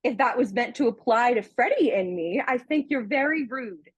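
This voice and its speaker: A prim, pert young heiress in a radio comedy, teasing and easily miffed. Her voice is high with a husky edge and very animated swings. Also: moderate pace, no room sound. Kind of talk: radio play acting.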